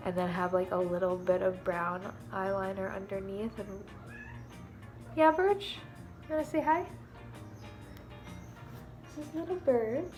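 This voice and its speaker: A young woman with a congested, snuffly nose talks casually to her camera from her living room, rambling and filling pauses with "um" and "like." Her voice sits at an ordinary middle pitch. Soft music plays quietly underneath. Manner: chatty and rambling, many fillers like "um" and "like". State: stuffed-up, snuffly nose from allergies. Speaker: beauty and costume vlogger.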